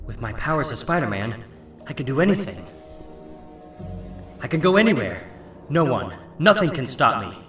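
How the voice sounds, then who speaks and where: A man's voice: medium pitch, a bit bright. A man playing an earnest, anguished teenage superhero in a melodramatic comic-book reading. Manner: emphatic, theatrical, self-questioning.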